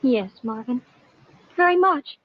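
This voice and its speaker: An actress playing a sentimental, lovestruck bride-to-be in a gushing 1950s radio drama style. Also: female voice, sits high, lively intonation, slow pace, no echo, exaggerated voice. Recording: muffled old 1950s radio broadcast.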